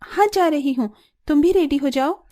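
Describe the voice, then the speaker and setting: Woman acting high-pitched expressive young cartoon bride. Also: normal speed, faint music behind, close to an everyday voice. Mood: playful. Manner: expressive, plaintive. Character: young vegetarian bride, dutiful, distressed and indignant.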